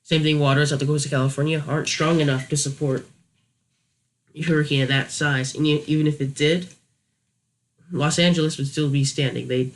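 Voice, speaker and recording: Young guy, voice a bit on the high side, doing an off the cuff review, kinda hesitant, thinking out loud. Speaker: YouTube reviewer. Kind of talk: casual unscripted review commentary.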